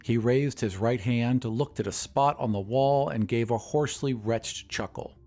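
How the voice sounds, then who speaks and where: A man's voice: medium pitch, a little slow, warm tone, soft background music. A man narrating a scripted story in a dramatic audio-drama style.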